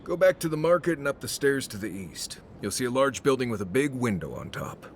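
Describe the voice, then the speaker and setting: A man's voice: mid-range voice, big pitch swings, fairly quick, slight background noise, close to an everyday voice. An actor playing a plain-spoken, seasoned male tracker companion in a video game. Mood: musing, matter-of-fact.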